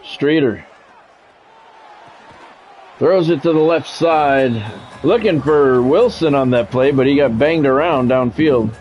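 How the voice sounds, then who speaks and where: A man's voice: medium pitch, slow, long pauses, highs cut off, faint music behind. A man doing radio-style play-by-play of a football video game on a livestream, with swearing and greetings to viewers.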